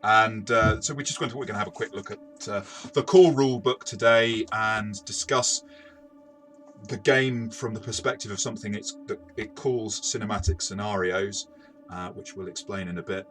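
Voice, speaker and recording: A man's voice: lowish voice, steady tone, moderate pace, a bit bright, soft background music. A man co-hosting a casual role-playing game review, talking in a hesitant way with many false starts.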